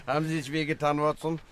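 Higher-voiced inquisitive colonel, played by a man. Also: little pitch movement, normal speed, crowd noise, natural voice. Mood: amused. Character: inquisitive, plain-spoken male colonel. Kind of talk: German radio play acting.

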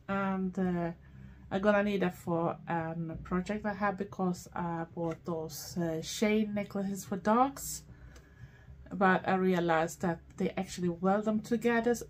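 A woman's voice: medium pitch, darkish tone, a little hiss or hum. A middle-aged woman from Sweden with a Swedish accent, chatting casually as a vlogger through a haul, rambling with many fillers. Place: small dark room indoors.

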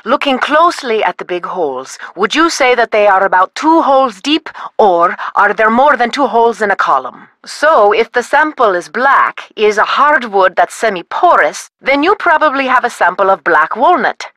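A woman's voice: a little high, big pitch swings, moderate pace, few pauses, fairly bright tone, no room sound. A woman playing a wood lab scientist in a video game, asking methodical questions over the phone with a dry joking manner. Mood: helpful.

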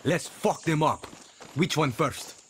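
An actor voicing a grim guerrilla fighter in a video game, speaking in a terse, cold and defiant way. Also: male voice, a little high, takes it slowly, slight background noise. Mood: determined. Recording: game audio with loud music.

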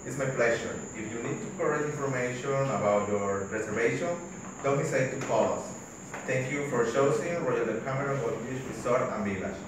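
A man's voice: medium pitch, a little fast, close to an everyday voice. Young guy doing a polite hotel desk agent, formal and scripted, recorded far off in an echoey noisy room. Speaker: student. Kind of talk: classroom role-play phone call. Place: large classroom, indoors. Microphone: far camera.

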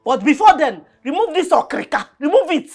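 Fiery, high-pitched Nigerian mother. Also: very animated, brisk, darkish tone, soft background music. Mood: indignant, scolding. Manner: scolding, rhetorical questions, exclamations. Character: combative, protective, meddling mother of grown daughter. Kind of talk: screen family drama acting.

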